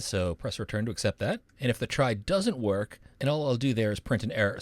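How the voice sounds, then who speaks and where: A man's voice: fairly low pitch, dry recording. A man narrating a coding tutorial as an instructor, in an upbeat, encouraging, instructional manner. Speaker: programming instructor, university professor.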